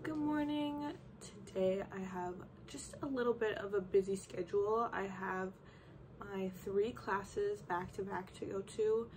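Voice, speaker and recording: A woman's voice: medium pitch, background noise. A young woman talking casually to her phone camera about her day in a small dorm room, full of "like" fillers. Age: young adult. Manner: chatty, peppered with "like". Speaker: college student vlogger. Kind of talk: casual vlog chat to camera. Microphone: phone at arm's length.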